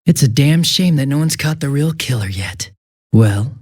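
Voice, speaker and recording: An actor playing a smug, two-faced young police detective in a video game, casual and sarcastic with a taunting, cruel edge. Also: male voice, mid-range voice, lively intonation, moderate pace, slightly rough. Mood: sly, probing. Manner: casual, sarcastic, taunting, shifting from breezy to menacing. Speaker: police officer.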